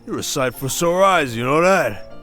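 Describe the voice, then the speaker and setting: A man's voice: fairly high pitch, lively intonation, normal speed, pushed and bright, faint music behind. Man playing a cocky, flirtatious cowboy barfly. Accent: American Western drawl. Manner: leering, folksy, talkative. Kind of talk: ASMR roleplay acting, Old West.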